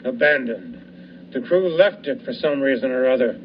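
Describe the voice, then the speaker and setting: A man playing a blunt, brusque head lighthouse keeper in a student radio play, quick to mock. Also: mid-range voice, animated, close to an everyday voice. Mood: gruff. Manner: brusque, mocking. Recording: muffled with faint hiss.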